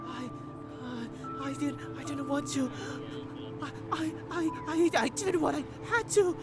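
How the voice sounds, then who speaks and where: An actress playing a nervy, threatening street mugger in an audio drama, edgy and stammering. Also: female voice, high voice, unhurried. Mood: panicked. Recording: music behind the voice.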